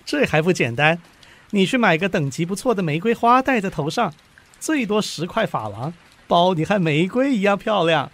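An actor playing an eager, doting husband, a modest clerk in a radio drama, with an earnest, easily flustered manner. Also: male voice, high voice, normal speed, heavily acted. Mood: soothing. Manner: earnest, animated, stammering when flustered.

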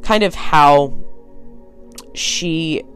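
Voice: medium pitch, drawn-out words, a bit bright, faint music behind. A podcast host from Connecticut with a Connecticut accent, talking frankly and casually with rambling asides, sounding tired. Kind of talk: casual solo podcast talk.

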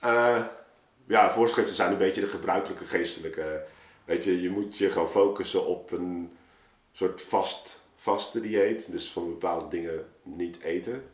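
A man talking casually and reflectively as a guest storyteller, halting and thinking aloud, recorded in an echoey room. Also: deep voice. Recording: roomy, echoing space.

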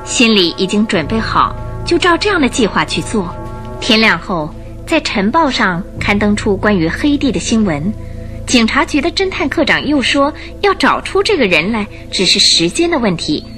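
A woman narrating a scripted Chinese radio drama in an expressive storytelling manner. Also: a little high, moderate pace, soft background music.

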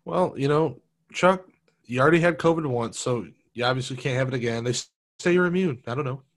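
A man's voice: medium pitch, fairly quick. A man co-hosting a podcast, chatting casually in a laid-back way, laughing often and swearing freely. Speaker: podcast co-host. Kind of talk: casual chat.

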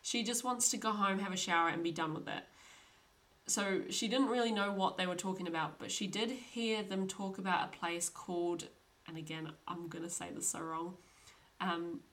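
A woman's voice: lowish voice, steady tone, moderate pace, a bit bright. A young woman hosting a podcast, telling a true crime story in a chatty, casual way with frequent laughs. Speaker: podcast host.

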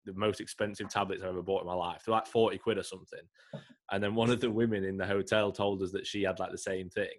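A man co-hosting a podcast, croaky and ill, chatting casually with lots of fillers. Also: sits low, steady tone, fast talker. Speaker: podcast co-host. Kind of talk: casual chat.

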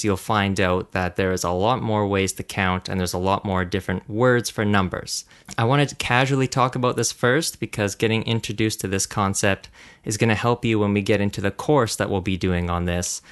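A man hosts a language lesson in an easygoing, conversational way, his voice smooth and resonant. He sounds friendly and encouraging as he explains. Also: lowish voice, a bit bright. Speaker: language course host. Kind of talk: casual teaching chat.

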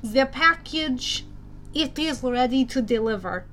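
Casting: female narrator as cruel male monster in doctor's guise, imperious, measured, formal, for a storybook. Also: high-pitched, animated, fairly bright tone, a little hiss or hum, exaggerated voice. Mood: commanding. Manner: slow, formal, commanding.

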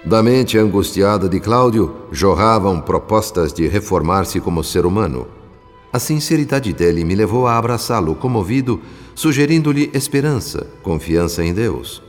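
A man with a Brazilian accent playing a solemn, compassionate narrator, telling a radio drama in measured literary phrases. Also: lowish voice, animated, natural voice. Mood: solemn, tender. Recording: long reverb with music behind. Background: music.

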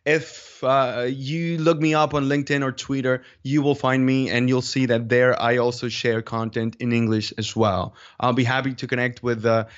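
A man with a Spanish accent answering interview questions in a deliberate, measured way, pausing to search for words. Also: mid-range voice, flat delivery. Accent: Spanish-speaking. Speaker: interview guest, video creator. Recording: dull lo-fi call audio.

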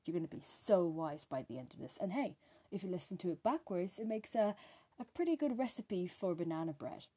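A woman's voice: on the low side, lively intonation, a little fast, dark tone, slightly rough. A woman hosting a podcast, speaking from loose notes. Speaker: podcast host. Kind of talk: semi-scripted podcast presenting.

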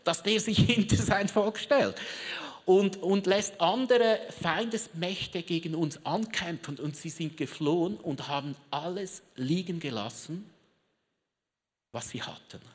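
A preacher delivers a sermon to a live crowd, telling the story theatrically and breaking off into chatty, joking asides. The delivery is unhurried and drawn out. The voice rings through a large hall with a long reverb tail. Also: medium pitch. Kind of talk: lively sermon with audience participation.

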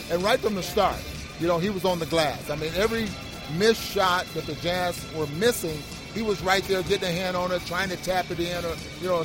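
An American man giving conversational post-game basketball commentary as an analyst, halting and reflective, often repeating words. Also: high-pitched, low-quality recording.

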